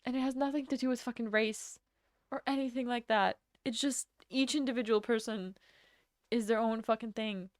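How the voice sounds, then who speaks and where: A woman's voice: fairly high pitch, steady tone, moderate pace, no echo, studio quality. A young woman from Latvia chatting casually on a live stream, rambling from topic to topic and swearing freely. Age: young adult.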